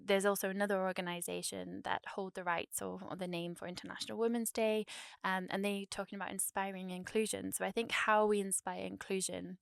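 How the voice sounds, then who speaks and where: A woman's voice: medium pitch, little pitch movement, fairly quick, gravelly, clean tone, no room sound. A woman in her thirties hosting a podcast in a studio, chatting casually with many ums and uhs. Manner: warm, filler-heavy, chatty. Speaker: podcast host. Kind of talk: casual podcast chat with scripted intro. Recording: dry close studio mic.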